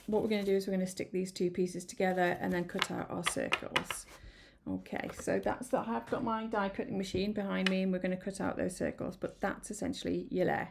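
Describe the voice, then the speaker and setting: A woman chatting through a card-making tutorial, a little croaky from hay fever, rambling and apologetic with many ums. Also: mid-range voice, noisy background. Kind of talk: casual craft tutorial. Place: home craft room.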